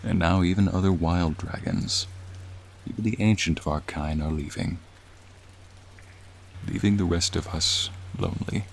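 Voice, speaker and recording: ASMR roleplay: gentle, courtly dragon, male actor, unhurried and creaky, wistful and soothing storyteller's manner. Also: deep voice, steady tone. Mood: lonely, tender. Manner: slow, formal, tender, reflective storytelling. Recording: faint rain noise behind. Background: rain ambience.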